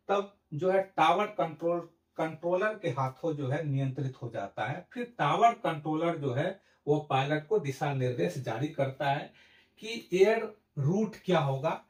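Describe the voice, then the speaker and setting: A man giving an explanatory lesson in a didactic, step-by-step way. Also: fairly high pitch. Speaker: educational presenter. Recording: roomy echo, distant microphone.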